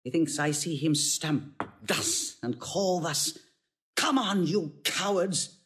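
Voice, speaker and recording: Fierce old Roman matron, low-voiced. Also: female voice, expressive, slow pace, fairly bright tone, exaggerated voice. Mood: fierce, scornful. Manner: imperious, declamatory. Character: fierce, proud Roman matron, mother of a warrior. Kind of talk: classical Shakespearean stage acting.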